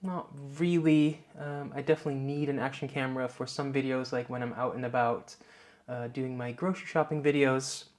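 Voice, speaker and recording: A man's voice: mid-range voice, a little fast, few pauses. A man from the Netherlands with a light Dutch accent, casually vlogging to camera with lots of "uh"s, recorded on a clip-on mic in his home office. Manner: relaxed, many filler "uh"s, rambling explanations. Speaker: YouTuber. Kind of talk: casual vlog talk to camera. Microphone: wireless clip-on mic.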